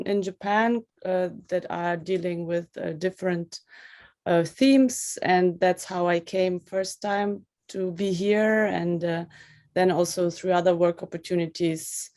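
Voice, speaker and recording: A woman's voice: lowish voice, vocal fry, darkish tone. A woman from Russia, a film director, answering interview questions thoughtfully over a video call, searching for words as she explains. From Russia, raised in Ukraine.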